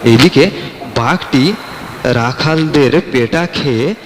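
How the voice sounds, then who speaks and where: A man's voice: mid-range voice, slow. A man narrating a scripted fairy tale as a storyteller. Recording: long reverb, faint background noise.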